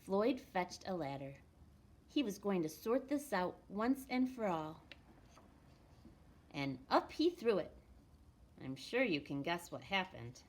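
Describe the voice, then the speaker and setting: A woman reads a children's picture book aloud in an expressive storytelling manner, with deliberate pauses and emphasis. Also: lowish voice, slight background noise. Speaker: elementary school storytime reader.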